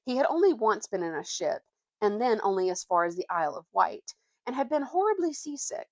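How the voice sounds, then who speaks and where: A woman reading a children's adventure book aloud as an expressive storyteller. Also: medium pitch, a little fast, dry recording.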